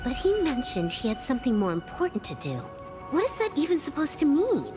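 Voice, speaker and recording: An actress playing a young, excitable girl companion in a video game, animated and informal. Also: high voice, brisk. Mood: puzzled. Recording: loud music behind the voice.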